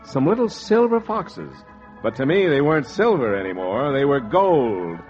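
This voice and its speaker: An actor playing a greedy, cynical schemer in a 1940s noir radio drama, with wry, dramatic delivery. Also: male voice, medium pitch, big pitch swings, normal speed, darkish tone, soft background music, natural voice. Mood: smug. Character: greedy, cynical male schemer telling his story. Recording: dull vintage 1940s radio recording.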